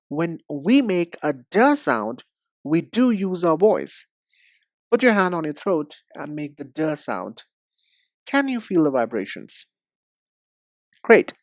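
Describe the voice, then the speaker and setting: Male language tutor for lesson narration. Slow, deliberate delivery, expressive mid-range pitch, addresses the learner directly. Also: no room sound, clean studio sound. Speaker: English pronunciation teacher. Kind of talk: instructional lesson.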